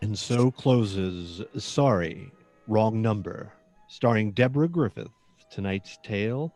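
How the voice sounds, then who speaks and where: A man narrates in the scripted style of old-time radio suspense. His fairly low voice is measured and theatrical, with dramatic pauses, over faint brass music. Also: a little mellow. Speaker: narrator and announcer. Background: brass instrument music.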